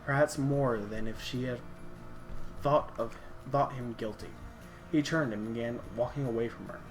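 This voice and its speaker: A man's voice: medium pitch, normal speed, faint music behind, rough recording. Man reading a story aloud. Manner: reads with hesitant restarts, stops to comment. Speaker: story reader. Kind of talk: fan reading of a game story.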